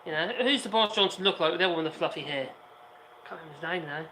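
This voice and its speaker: A man with a hoarse voice co-hosting a casual livestream chat outdoors, sarcastic and fond of rhetorical questions. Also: fairly high pitch, racing, sharp tone, slight background noise, poor audio. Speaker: co-host, video channel maker. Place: outdoors on an island, sitting on cardboard.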